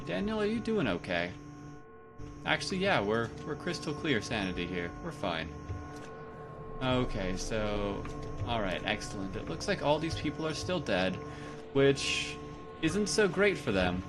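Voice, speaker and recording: A man's voice: mid-range voice, very animated, measured pace. A man playing a horror game and chatting casually, self-mocking and talking to his character. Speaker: let's play gamer. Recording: game music behind.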